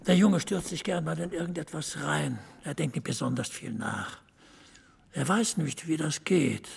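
A blunt small-town boss in an audio drama. His fairly high voice is heavily creaky, and he gives orders dryly and without fuss. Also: normal speed, heavily acted. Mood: grave. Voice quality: husky, gravelly. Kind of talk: naturalistic German audio drama acting.

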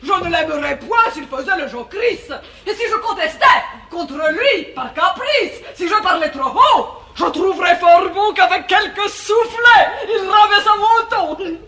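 A woman's voice: very high voice, animated, a little slow, hardly any pauses, bright, forceful tone, heavily acted. A woman playing an outspoken, cheeky, uneducated servant in a French verse stage comedy, speaking with brash emphasis. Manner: brash, emphatic, plain-spoken. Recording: echoey, noisy vintage theatre recording.

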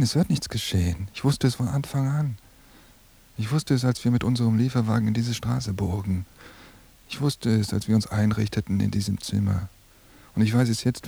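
Gravelly, brooding male surveillance agent. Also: fairly low pitch, mellow, exaggerated voice. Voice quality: gravelly, husky. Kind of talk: radio drama interior monologue.

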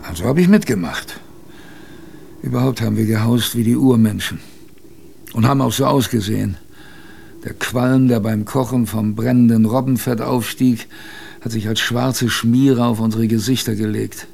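A man playing a plainspoken expedition survivor, telling his story in a wry, colloquial, gravelly radio drama narration. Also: medium pitch, steady tone, moderate pace, a little mellow, slight background noise. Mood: weary, reflective. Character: plainspoken male polar expedition survivor recalling hardship, wry.

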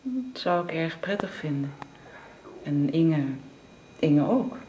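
A woman playing a tender young wife and mother in a naturalistic radio drama, speaking softly and hesitantly. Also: deep voice, animated, slow pace, lo-fi sound, slight background noise. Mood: hesitant, gentle.

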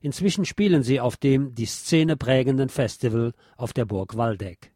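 A man reads scripted documentary narration as a radio presenter. His voice sits at a middle pitch, and the recording is very dry, with no room sound. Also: normal speed, mellow, husky, dry recording.

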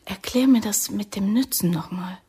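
A woman's voice: mid-range voice, moderate pace, mellow, close to an everyday voice. An actress playing a defiant, theatrical young woman recruit in a radio drama, impulsive and vulnerable. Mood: subdued, searching. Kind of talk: German radio drama acting.

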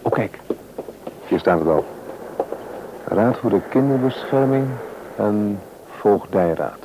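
A man's voice: lowish voice, animated, slow pace. An actor playing a polite, businesslike married man in an old Dutch radio play, courteous and formal. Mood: curious. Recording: old radio recording with faint noise.

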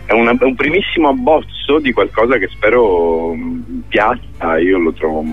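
Over a thin, telephone-like connection comes a man with a low-pitched voice. He talks conversationally as a theatre director interviewed on radio, often hesitating as he searches for the right words. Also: normal speed, soft background music. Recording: thin phone-like radio line.

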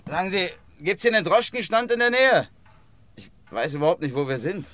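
A man's voice: sits high, big pitch swings, fairly quick, a bit bright, dry recording. A man playing a bitter, grumbling war-veteran newspaper reporter in a radio drama. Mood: weary. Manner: muttering, then indignant.

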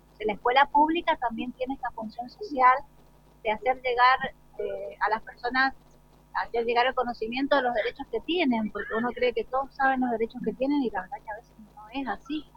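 Higher-voiced Argentine woman, dull lo-fi sound. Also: brisk, a little hiss or hum. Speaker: school director being interviewed. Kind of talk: spontaneous interview answers. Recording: dull lo-fi live radio recording.

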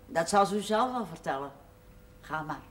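An actress playing a weary, blunt older aunt with dry humour, speaking tersely in a television drama. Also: female voice, lowish voice, expressive, moderate pace, lightly acted.

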